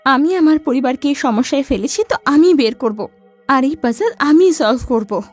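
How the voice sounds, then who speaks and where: A woman's voice: high-pitched, lively intonation, moderate pace, faint music behind, pitched above the performer's own voice. A woman voicing an anxious young wife and mother in a cartoon story, frightened and pleading. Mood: determined. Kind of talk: cartoon voice acting.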